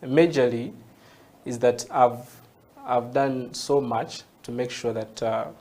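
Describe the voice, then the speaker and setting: A man's voice: mid-range voice, slow, a little mellow. A Ugandan man with a Ugandan English accent, a guest in an interview, patiently explaining technology and often checking in with "yeah?". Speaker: guest, tech entrepreneur.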